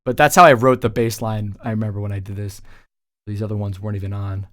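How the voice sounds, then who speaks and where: A man's voice: fairly low pitch, fairly even intonation, fast. A man talking casually as a music producer, explaining his work in an informal tutorial voice-over. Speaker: music producer presenting a track breakdown.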